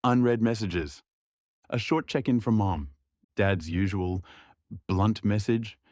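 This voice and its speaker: A young man narrates a scripted audio drama in a subdued, reflective inner voice. His pitch sits a little low, and the recording is close and dry. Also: slow, a little mellow, no echo.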